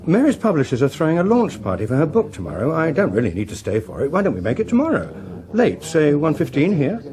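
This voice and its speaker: An actor playing a suave, unflappable middle-aged politician with an English accent in a radio drama. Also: male voice, a little high, wildly swooping pitch, fast talker, a crowd in the background. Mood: smooth, guarded. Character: suave, unflappable, ambitious veteran male politician.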